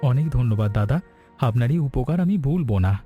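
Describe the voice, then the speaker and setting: A man's voice: mid-range voice, animated, brisk, muffled recording, faint music behind, natural voice. A man playing an honest, dutiful poor young village oil seller in a cartoon fairy tale, earnest, polite and pleading. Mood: humble, polite.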